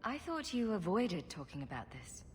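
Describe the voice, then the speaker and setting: A woman's voice: lowish voice, sing-song, moderate pace, a little mellow, slight background noise. An actress playing a wry, loyal woman adventurer with a dry, sardonic way of talking in a fantasy video game. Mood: curious. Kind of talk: cinematic game dialogue acting.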